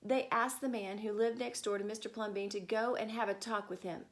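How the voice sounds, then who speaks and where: A woman reading a picture book aloud to children, expressive and stopping to ask her listeners questions. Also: medium pitch, fairly quick. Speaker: storyteller.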